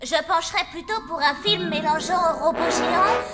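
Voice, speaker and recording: Very high, bossy cartoon kid. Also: female voice, moderate pace, loud music behind the voice. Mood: excited, scheming. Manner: cocky, teasing. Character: bossy, excitable scheming zombie kid.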